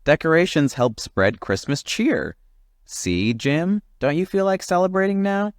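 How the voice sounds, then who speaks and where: A man's voice: fairly high pitch, expressive, unhurried, no echo, studio quality, voice pushed up. A man voicing a perky little bird from a storybook, chirpy and upbeat. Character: perky oxpecker bird spreading holiday cheer.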